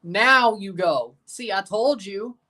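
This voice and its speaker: A man's voice: high voice, slow pace, bright, forceful tone. A man in his twenties hosting a live match watchalong, talking casually and joking with his viewers between calls of the play. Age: 20s. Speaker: livestream host.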